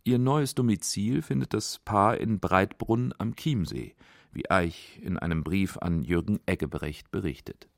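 A man's voice: deep voice, measured pace, vocal fry, no echo, clean studio sound. A man narrating a scripted radio feature as its documentary narrator.

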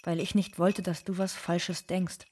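An actress playing an anxious, bitter undocumented migrant woman in a scripted dramatic monologue, with a husky voice. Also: fairly low pitch, level tone, a little slow, a little mellow, slight background noise, close to an everyday voice. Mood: anxious, pleading.

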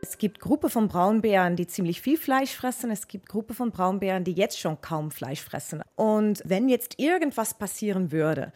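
A woman's voice: mid-range voice, lively intonation, moderate pace, few pauses, darkish tone, soft background music. A woman animal expert with a Dutch accent, explaining things to children in a plain-spoken, matter-of-fact way.

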